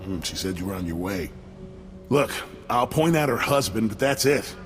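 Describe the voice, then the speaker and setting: An actor playing a reluctant, self-protecting go-between in a video game cutscene. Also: male voice, lowish voice, expressive, normal speed, in a large hall, faint music behind, natural voice. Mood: sly, uneasy. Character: nervous, reluctant male go-between, saving his own neck.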